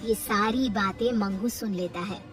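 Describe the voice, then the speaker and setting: A woman's voice: mid-range voice, unhurried, recorded from a distance, faint music behind. A woman narrating a scripted cartoon story.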